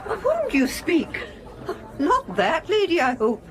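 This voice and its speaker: A woman's voice: very high-pitched, huge pitch swings, measured pace, a faint crowd, full character voice. An actress playing a fond, proud middle-aged English mother in a period audio drama, genteel and theatrical. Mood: disdainful. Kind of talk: period radio drama acting.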